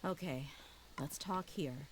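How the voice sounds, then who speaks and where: A woman's voice: deep voice, slow, noisy background. A woman voicing a tough, blunt young woman mercenary in a video game, speaking casually and with self-assurance. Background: game music.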